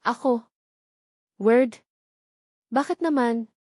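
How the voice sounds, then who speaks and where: A woman's voice: fairly high pitch, very slow, darkish tone, no echo, studio quality. A woman with a Filipino accent voicing a devoted, anxious young mother in a narrated story. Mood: tender.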